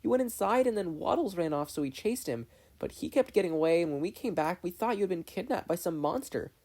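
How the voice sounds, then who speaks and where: A bold, excitable young girl in a storybook reading, put on by a man. The voice is lifted well above the reader's natural pitch and pushes out with eager enthusiasm. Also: quick pace. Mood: relieved, breathless.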